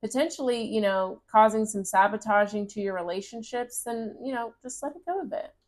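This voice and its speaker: Gentle encouraging woman reading tarot. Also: a little high, fairly quick. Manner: gentle, encouraging, reads card text aloud. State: voice getting raspy. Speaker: tarot reader. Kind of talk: casual tarot reading to camera.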